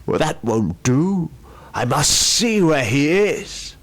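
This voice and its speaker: A man's voice: fairly high pitch, sing-song, takes it slowly, voice pushed up, exaggerated voice. A man voicing a grim, savage samurai ghost, commanding and grumbling, in a spoken ghost story.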